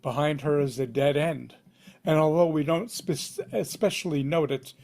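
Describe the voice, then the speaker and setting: A man's voice: a little high, moderate pace, a little mellow. A man reading screenplay stage directions aloud at a table read, in punchy, dramatic fragments.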